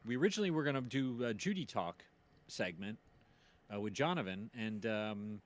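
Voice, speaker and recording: Male stream co-host, lowish voice, informal and slightly hesitant, talking listeners through the show. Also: lively intonation, normal speed. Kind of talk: casual live stream chat. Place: live voice chat stream.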